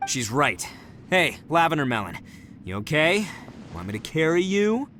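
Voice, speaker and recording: A brash young gang boss with lively, swinging intonation. He is blunt, slangy and good-hearted in anime game style, with music underneath. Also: fairly high pitch, normal speed, a little hiss or hum. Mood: caring, offhand. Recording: music behind the voice.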